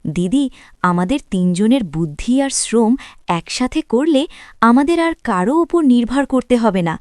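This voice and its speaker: A woman's voice: fairly high pitch, animated, moderate pace, darkish tone, no echo, clean studio sound, close to an everyday voice. A woman voicing a young, educated, headstrong youngest daughter-in-law in a cartoon drama. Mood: hopeful.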